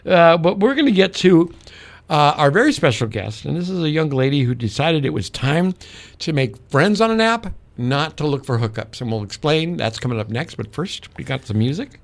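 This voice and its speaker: A male radio host with a slightly high voice and very animated intonation. He talks casually between segments and puts questions to guests, in a dry, close studio sound. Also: moderate pace, no room sound. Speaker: radio morning show host. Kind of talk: casual radio talk and interviewing.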